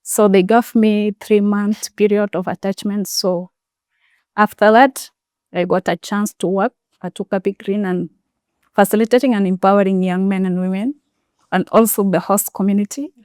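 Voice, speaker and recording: A young woman whose first language is Anuak, a solar installation facilitator, calmly recounting her schooling in an interview. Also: medium pitch, clean tone, dry recording. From South Sudan region, Anuak speaker. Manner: measured, step-by-step storytelling with frequent "so".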